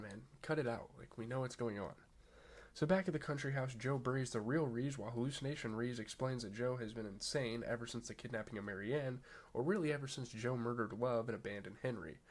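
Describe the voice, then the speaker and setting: A man with a stuffy, nasal voice hosting a casual episode recap, rambling with lots of fillers and the odd swear word. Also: medium pitch. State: congested, nasal. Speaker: TV review channel host.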